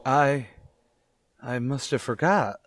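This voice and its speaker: A man playing an anxious, apologetic condemned prisoner in a radio drama, halting and stammering meekly. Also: mid-range voice. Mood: anxious, straining.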